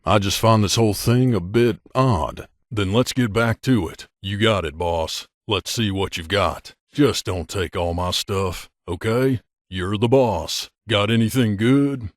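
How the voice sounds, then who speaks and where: A man's voice: on the low side, measured pace, no echo, clean studio sound, natural voice. A man playing a middle-aged mercenary bodyguard in a video game, a blunt and guarded loner who talks in a terse, matter-of-fact, aloof way. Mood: businesslike, dry. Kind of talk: video game character dialogue.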